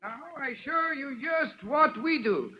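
A man's voice: extremely high pitch, lively intonation, slow, big-room sound, muffled recording, full character voice. An actor playing an earnest male school janitor who speaks in broken English, on an old radio drama recording.

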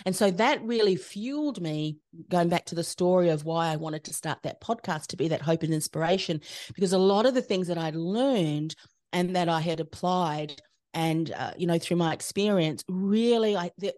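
A middle-aged Australian woman, a podcast host being interviewed, telling her career story in a reflective, steady way with soft fillers. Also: on the low side, moderate pace, dry recording, studio quality. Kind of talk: casual conversational interview.

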